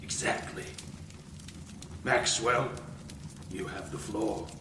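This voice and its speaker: Actor as a slow, smug cult ringleader. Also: male voice, lowish voice. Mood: ceremonial. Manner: theatrical, deliberate, formal. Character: smug male cult master of ceremonies, a villain. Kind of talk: noir audio drama acting. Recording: echoey, distant mic, clear background noise.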